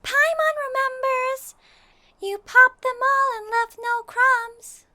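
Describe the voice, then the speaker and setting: A woman's voice: slow pace, pushed and bright, no echo, heavily acted. A woman voicing a tiny, childlike, chatty fairy companion in a fan audio roleplay. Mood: eager. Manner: refers to self in third person, squeaky and childlike.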